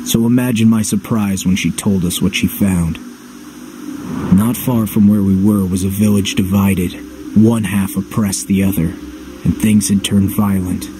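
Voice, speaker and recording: Low-voiced, brooding warrior played by a man. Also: steady tone, unhurried, noisy background, natural voice. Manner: terse, dry, distrustful. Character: brooding, wary young male warrior narrating his journey. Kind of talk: video game cutscene acting and voice-over.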